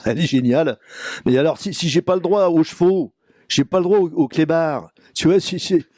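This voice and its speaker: A man playing a chatty, brash showbiz agent pitching variety acts in slangy, insistent patter on a prank phone call. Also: moderate pace, a little mellow, no echo, studio quality, natural voice. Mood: excited, pushy. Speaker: prank caller.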